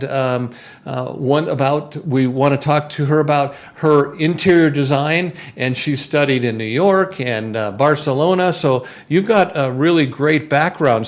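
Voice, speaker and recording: A man who is a real estate broker hosting a radio interview in a studio, asking rambling, halting questions. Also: on the high side.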